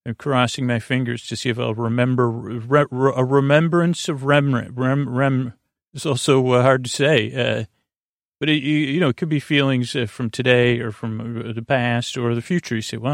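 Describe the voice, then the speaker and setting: A man with a gravelly, creaky voice at a middling pitch, recorded very dry. He rambles through an improvised bedtime monologue, halting, repeating himself and drifting off on tangents as a sleep podcast host. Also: dry recording. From United States.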